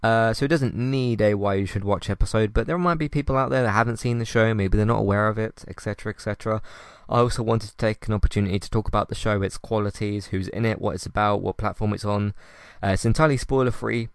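A man hosting a solo podcast, talking in a calm, conversational way with frequent fillers and restarts. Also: on the low side, quick pace, clear, resonant tone, no echo, clean studio sound. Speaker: podcast host.

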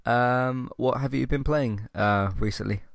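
A man's voice: medium pitch, unhurried, vocal fry, no echo, studio quality. A man with a cold, sounding sniffly, hosting a casual podcast chat with many ums and restarts. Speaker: podcast host.

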